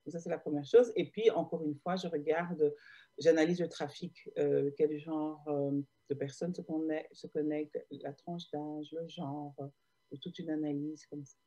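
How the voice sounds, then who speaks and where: Belgian woman, interview guest, low mellow voice, halting with fillers, video call. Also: fairly even intonation. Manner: hesitant, many fillers and restarts. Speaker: guest, digital marketer. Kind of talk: casual interview answers.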